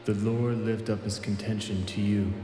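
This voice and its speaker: A man acts a solemn minister in a spooky storybook, intoning a blessing. The voice is lowish and measured, with music clearly behind it. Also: level tone, mellow, roomy sound, long reverb tail, close to an everyday voice. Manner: slow, intoning. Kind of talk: audio storybook character reading.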